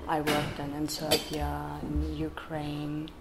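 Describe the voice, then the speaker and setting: A woman with a German accent, German being her first language, talking haltingly as an interview guest, with many pauses and restarts. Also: low voice, monotone, gravelly, loud music behind the voice. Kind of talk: personal interview.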